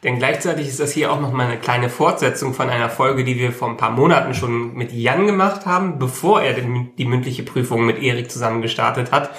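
A man guesting on a casual podcast chat, relaxed and asking curious questions. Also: mid-range voice, fairly quick, few pauses. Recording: roomy echo.

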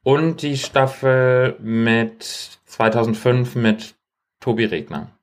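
A man with a medium-pitched voice talks as a podcast co-host. His delivery is casual and conversational, earnest and wordy, like someone recounting things to a friend with humor. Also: steady tone, slow pace.

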